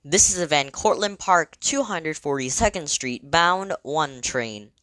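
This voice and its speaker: A woman delivering scripted train announcements in a measured, formal, clearly segmented manner. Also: sits low, animated, a bit bright, no echo.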